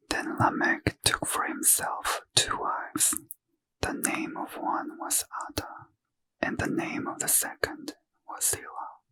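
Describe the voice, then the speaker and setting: A man reading scripture aloud as a soft, slow ASMR whisper, gently lilting. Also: poor audio. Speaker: reader.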